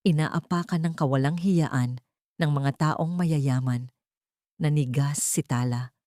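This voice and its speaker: A woman's voice: low voice, takes it slowly, a little mellow, no room sound, clean studio sound. A woman with a Filipino accent reading a dramatic story as an audiobook narrator.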